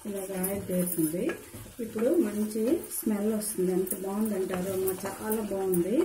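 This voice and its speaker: A woman's voice: medium pitch, fairly even intonation, fairly quick. A woman giving an informal cooking demonstration, instructing viewers directly as a home cook. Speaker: home cook presenting a recipe. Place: indoor home kitchen at the stove. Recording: roomy kitchen sound with background noise.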